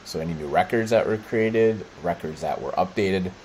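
A middle-aged American man presenting a software walkthrough, explaining step by step in short, halting phrases. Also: sits low, a little hiss or hum. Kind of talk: screen-share product walkthrough.

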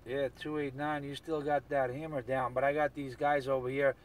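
A man's voice: medium pitch, level tone, moderate pace. A man talking casually in CB radio slang, repeating catchphrases as he operates and records. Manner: repetitive, chant-like catchphrases.

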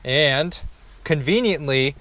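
A man's voice: fairly high pitch, lively intonation, slow, a bit bright, slight background noise. A man giving casual, hands-on vlog commentary with dry, sarcastic humour and the odd swear word. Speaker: vlogger doing a car installation. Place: in and around a parked car in a driveway.

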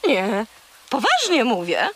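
A high-voiced, scatterbrained girl seagull chatters like a boastful little storyteller. Her delivery is eager and very lively, though she takes her time over the words. It is children's radio-play acting. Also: slow pace, exaggerated voice. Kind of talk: children's audio drama acting.

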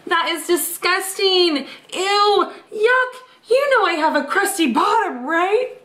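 A woman's voice: extremely high pitch, expressive, takes it slowly, a bit bright, roomy sound, slight background noise, voice pushed up, exaggerated voice. A woman voicing an indignant, dramatic, cheeky pizza slice from a storybook, with exaggerated outraged exclamations.

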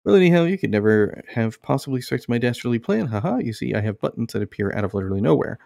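A man voicing a gloating, scheming trickster creature, reading video game dialogue in theatrical, halting taunts. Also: medium pitch, very animated, creaky, warm tone, no echo, clean studio sound.

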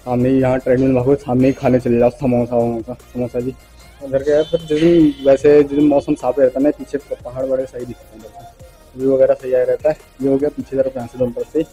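Mellow young man, casual gym vlogger. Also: medium pitch, flat delivery, quick pace, soft background music, rough recording. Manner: slangy, joking, loosely rambling. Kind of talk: casual vlog chat, teasing banter with friends.

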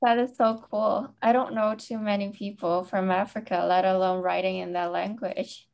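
A woman's voice: medium pitch, fairly even intonation, moderate pace, creaky, a little mellow. A woman taking part in a workshop on a video call, asking polite, curious questions. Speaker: workshop participant.

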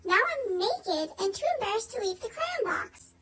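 A woman's voice: very high voice, big pitch swings, normal speed, pushed and bright. A woman voicing an embarrassed, panicky little crayon in a children's storybook. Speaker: school staff member reading aloud. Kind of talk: dramatic children's storybook reading. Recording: faint background noise.

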